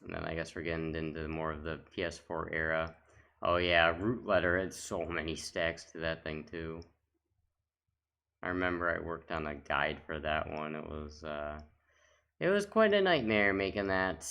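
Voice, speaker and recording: A man's voice: extremely low pitch, moderate pace, creaky, a bit bright, smooth. A man giving casual, off-the-cuff commentary as a gaming video host, talking in a rambling, reminiscing way.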